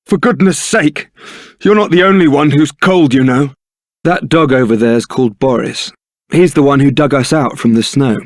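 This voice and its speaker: A man's voice: medium pitch, normal speed, no room sound, lightly acted. A man playing a suave, sardonic young templar knight and roguish ladies' man in a fantasy video game, with a dry, theatrical delivery. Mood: wry, teasing.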